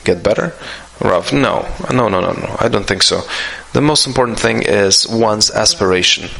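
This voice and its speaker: Man, live interpreter, question-and-answer session, halting, restarts, lowish pitch, bright tone. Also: gravelly, poor audio. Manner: halting, with frequent restarts and fillers.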